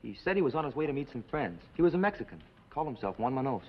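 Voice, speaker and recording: An actor playing an earnest, polite, plain-spoken young nephew in an old television western. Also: male voice, medium pitch, sing-song, rapid-fire, lightly acted. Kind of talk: 1950s television western acting. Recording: muffled, noisy old TV western soundtrack.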